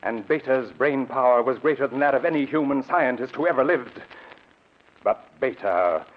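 A man's voice: mid-range voice, moderate pace, a little hiss or hum, lightly acted. Grave, dramatic lab assistant, played by a man, muffled old radio. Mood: ominous, intent. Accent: American. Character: secretive male lab assistant with a grave, intent temper. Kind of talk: 1940s American radio drama acting.

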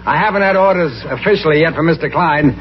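An actor playing a practical, doubtful horse trainer in a 1950s radio comedy. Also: male voice, fairly high pitch, fast, a little hiss or hum, natural voice. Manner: matter-of-fact, doubtful. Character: practical middle-aged male horse trainer, skeptical. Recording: dull old radio broadcast.

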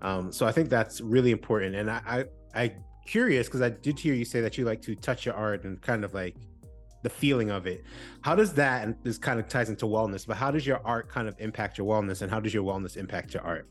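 A man's voice: on the low side, brisk, soft background music. A man hosting a podcast, reflecting aloud and asking long, winding questions in a casual tone. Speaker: podcast host.

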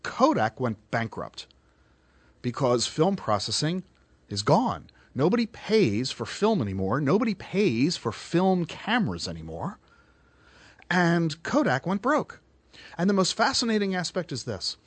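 A man's voice: high-pitched, slightly rough, no room sound. A man hosting a radio finance show, delivering points emphatically and taking callers.

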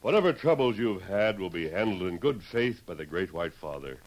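An actor playing a firm, earnest masked cowboy hero with an authoritative manner in a 1950s radio western. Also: male voice, sits low, sing-song, normal speed, dull audio. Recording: old radio broadcast recording.

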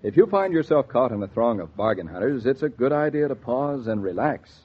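A husky, animated man announcing in 1940s radio style. He is polished and salesmanlike, with the muffled sound of an old broadcast transcription. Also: mid-range voice, brisk.

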